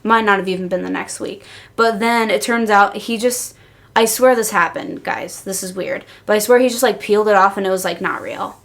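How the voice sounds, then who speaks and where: A woman's voice: medium pitch, normal speed, creaky. A woman co-hosting a casual podcast, telling stories in informal, slangy language. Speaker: podcast co-host.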